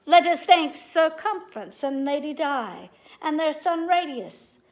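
A woman voicing a commanding, fair-minded storybook king with declamatory, measured proclamations to his knights. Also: sits high, animated. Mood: proud.

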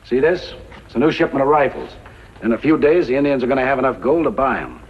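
A commanding outlaw boss from an old radio western. His husky voice swings widely as he schemes and gives orders, on a dull vintage recording. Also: mid-range voice, animated, fast talker. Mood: calculating. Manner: bossy, calculating. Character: scheming, commanding male gunrunner gang boss. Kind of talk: 1950s radio western acting.

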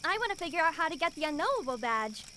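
A woman's voice: high voice, big pitch swings, fast, sharp tone, slight background noise, exaggerated voice. A woman playing a vague, forgetful young scout child in an audio drama. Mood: earnest.

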